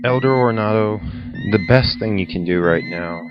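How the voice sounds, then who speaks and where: An actor playing a candid, concerned starship doctor in a science fiction audio drama. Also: male voice, fairly low pitch, normal speed, dull audio, over music, natural voice. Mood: measured, reassuring.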